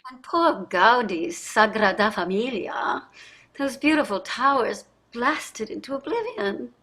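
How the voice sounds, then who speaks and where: A woman's voice: on the high side, animated, a little slow, pushed and bright, full character voice. An actress reading the part of an exasperated, wistful wealthy wife in her sixties in a staged play, theatrical and sardonic. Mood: sardonic, dismayed.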